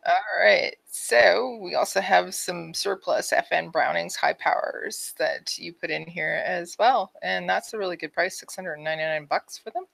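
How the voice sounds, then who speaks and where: A woman's voice: fairly low pitch, moderate pace, gravelly, hardly any pauses, fairly bright tone, dry recording. A woman with a Canadian accent co-hosting a podcast, chatting casually and reading out announcements. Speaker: podcast co-host.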